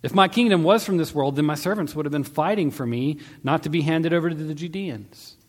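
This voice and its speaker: A man voicing a calm, solemn male holy teacher on trial, speaking in a measured, quietly assured way. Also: on the high side, pitched above the performer's own voice, close to an everyday voice. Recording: long hall-like reverb.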